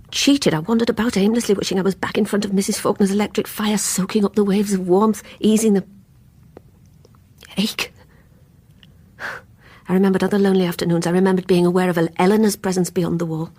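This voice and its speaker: An actress playing a troubled housewife in a radio play, confessing obsessive secrets in an intimate, brittle, insistent way that drops to hushed tones. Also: female voice, medium pitch, breathy edge, lightly acted. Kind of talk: dramatic radio play acting with confessional narration.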